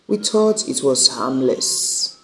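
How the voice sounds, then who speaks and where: A man's voice: medium pitch, animated, slow, vocal fry, bright, forceful tone, echoey room, full character voice, rough recording. A man voicing a grieving, regretful mother in a story, with emphatic lamenting. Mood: anguished regret.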